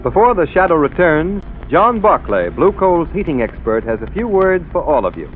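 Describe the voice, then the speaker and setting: A man reading a formal, measured announcement in the style of a 1930s radio broadcast. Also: a little high, sing-song, phone-quality sound.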